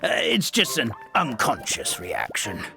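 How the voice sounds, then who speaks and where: A kindly old forest grandfather from a game, speaks with ornate courtesy. His delivery is unhurried and his pitch rises and falls dramatically. Music plays clearly behind him. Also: huge pitch swings, full character voice. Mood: apologetic. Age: older. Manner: formal, courteous, flowery speech. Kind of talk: theatrical game dialogue.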